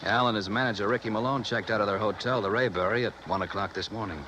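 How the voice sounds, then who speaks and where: An actor playing a helpful, efficient police sergeant, plain-spoken in 1950s radio drama style. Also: male voice, lowish voice, quick pace, noisy background, close to an everyday voice. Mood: businesslike.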